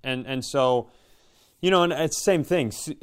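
A man co-hosting a casual chat, thinking aloud in a halting, reflective way and often breaking off to rephrase. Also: medium pitch, clear, resonant tone. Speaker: podcast co-host.

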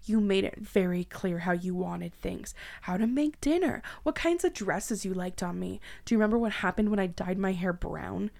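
A woman acting a hurt, angry young woman who confronts her dangerous ex in a dark audio drama, with raw emotion. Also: mid-range voice, moderate pace, hardly any pauses, close to an everyday voice. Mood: angry, accusing. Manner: emotional, swinging between breathy whispers and outbursts.

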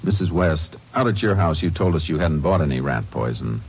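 An actor playing a calm, methodical Texas Ranger who questions politely and evenly in an old-time radio western. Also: male voice, rumbling low, a little fast, breathy edge, exaggerated voice. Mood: gentle, firm. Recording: muffled, noisy vintage radio recording.

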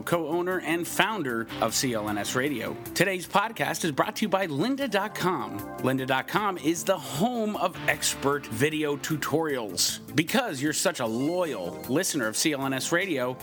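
Higher-voiced man reading an ad over music. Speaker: radio network co-owner reading sponsor message. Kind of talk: scripted ad read. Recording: music clearly behind the voice.

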